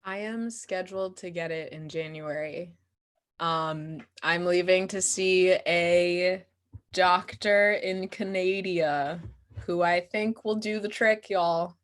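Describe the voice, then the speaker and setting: A woman's voice: lowish voice, slow pace. An American woman speaking casually and giggly, answering questions after a show as an actor and playwright. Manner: giggly, animated, informal. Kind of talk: casual post-show talk.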